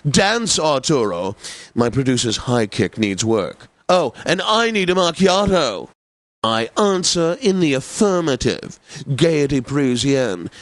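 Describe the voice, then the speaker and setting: Casting: male actor as smug, effete male classical DJ for a game radio station; unhurried, theatrical diction, dry studio sound. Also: mid-range voice, no echo, lightly acted. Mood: playfully theatrical. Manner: pompous, theatrical, unctuous, precisely enunciated. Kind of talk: scripted classical radio announcing, video game.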